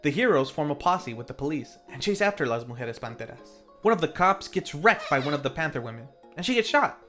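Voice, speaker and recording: A man's voice: on the high side, very animated, a little fast, sharp tone. A man hosting a film review, narrating a scripted recap in a playful, tongue-in-cheek way with theatrical asides. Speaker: film review show host. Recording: faint music under the voice. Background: faint background music.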